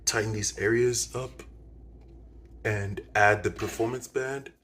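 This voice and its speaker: A man giving a casual hands-on music gear demo to viewers, halting as he goes, often repeating and correcting himself. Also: fairly low pitch, fairly even intonation, a bit bright. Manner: halting, repetitive, self-correcting. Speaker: video host demonstrating music gear.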